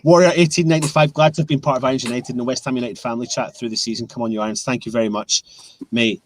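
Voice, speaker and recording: A man's voice: medium pitch, steady tone, racing. Chatty Scottish man reading comments. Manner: chatty, reads viewer comments aloud. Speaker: host. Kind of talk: casual fan live-stream chat.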